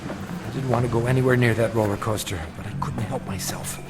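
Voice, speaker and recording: A man's voice: mid-range voice, steady tone, fairly quick, loud music behind the voice, close to an everyday voice. An actor playing a nervous, frightened man with a weak heart in an audio drama, hesitant and anxious. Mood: resigned narration.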